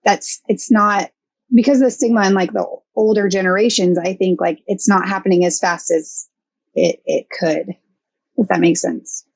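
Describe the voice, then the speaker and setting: A woman's voice: medium pitch, steady tone, moderate pace, gravelly, husky, dry recording. Hesitant woman counselor, dry sound. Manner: thoughtful, hesitant with many fillers. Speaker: guest, counseling intern. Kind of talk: casual podcast interview.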